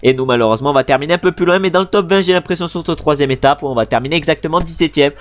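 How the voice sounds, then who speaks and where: A man's voice: a little high, racing. A French man giving casual, chatty commentary while playing a cycling video game, with frustrated asides about his team leader. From France.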